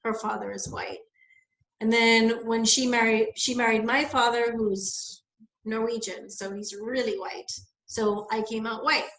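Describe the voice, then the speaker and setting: A young woman from the Bahamas talks through her experiences in a loose, thinking-aloud way. Her voice sits a little high, and the recording rings with the echo of the room around her. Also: little pitch movement, unhurried, a bit bright. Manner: reflective, rambling, many fillers. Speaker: guest. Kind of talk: casual conversation, personal story.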